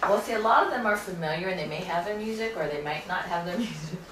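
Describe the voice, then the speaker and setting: A woman's voice: fairly low pitch, expressive, fast talker, fairly bright tone, far from the mic. A middle-aged woman chatting casually and laughing while she chops vegetables at home. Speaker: cooking show co-host. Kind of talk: casual live cooking chat. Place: home kitchen, indoors. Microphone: distant camera mic. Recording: roomy kitchen sound from afar with noise.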